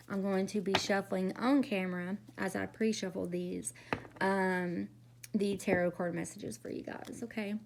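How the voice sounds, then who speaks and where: A woman doing a casual tarot reading, her voice raspy from a cold, chatty and often checking in with "okay?". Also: medium pitch. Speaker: tarot reader.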